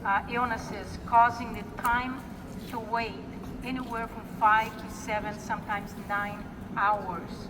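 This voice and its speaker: Woman, rally speech, slightly high voice, emphatic, distant from mic, long reverb, loud background noise. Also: little pitch movement, takes it slowly. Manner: emphatic, repetitive rallying lines. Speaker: rally speaker. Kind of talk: prepared political rally speech. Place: outdoor rally at a state house. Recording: distant echoey outdoor PA recording.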